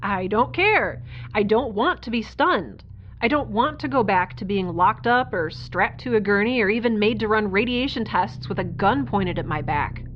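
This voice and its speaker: A woman's voice: medium pitch, lively intonation, moderate pace, lo-fi sound, slight background noise, lightly acted. A woman playing a defiant young fugitive in an audio drama, sarcastic and conversational. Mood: indignant.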